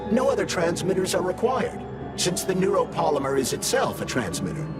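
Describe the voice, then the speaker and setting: A sardonic AI companion living in a glove, lecturing with dry precision. His delivery is animated in pitch, and loud game music plays underneath. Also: a little fast.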